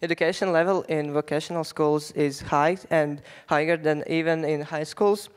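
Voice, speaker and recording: Latvian teen guy speaking at a big conference panel into a handheld mic, higher clear voice, bit halting. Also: fairly even intonation. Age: teens. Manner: halting non-native phrasing with fillers. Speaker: youth delegate. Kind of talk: prepared panel speech. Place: large conference hall stage.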